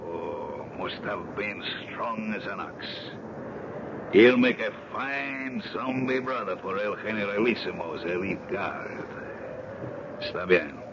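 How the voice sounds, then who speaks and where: Radio horror villain: sinister grave robber, low, unhurried delivery, noisy old broadcast sound. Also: male voice, huge pitch swings, creaky, muffled recording, full character voice. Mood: grimly satisfied. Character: sinister grave robber serving a Caribbean witch doctor. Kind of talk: radio horror drama acting.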